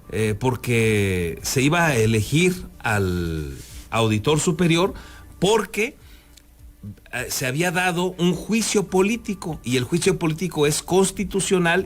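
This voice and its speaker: A man with a Mexican accent hosting a news program, giving unscripted commentary in a halting, emphatic way. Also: a little high. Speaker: news host.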